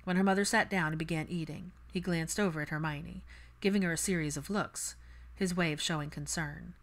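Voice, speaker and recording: A woman's voice: lowish voice, steady tone, fairly quick. A woman giving a scripted audiobook narration of fan fiction.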